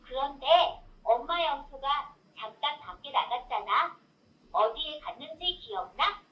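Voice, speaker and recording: A woman's voice: high-pitched, expressive, unhurried. A woman's synthetic storyteller voice reading a children's tale and asking playful quiz questions, cheering the child on, in a roomy, distant, dull lo-fi recording. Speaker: talking doll storyteller.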